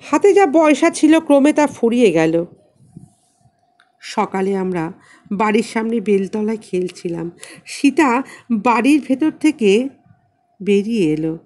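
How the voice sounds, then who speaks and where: Audiobook narrator: woman, relaxed mellow timbre, unhurried and expressive literary delivery. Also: on the high side. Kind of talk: scripted literary story narration.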